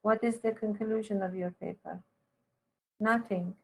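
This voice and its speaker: A woman with a crackly, fry-edged voice speaks slowly as a teacher giving feedback. She halts and restarts as she instructs, correcting point by point. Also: mid-range voice, steady tone. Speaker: university teacher giving feedback on a student's paper. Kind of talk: one-to-one spoken writing feedback.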